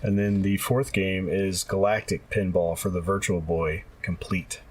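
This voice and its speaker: A man with a fairly low, noticeably husky voice co-hosts a podcast. His delivery is casual and conversational. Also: fairly even intonation, unhurried, a little mellow. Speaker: podcast co-host. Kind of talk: casual podcast chat about retro games.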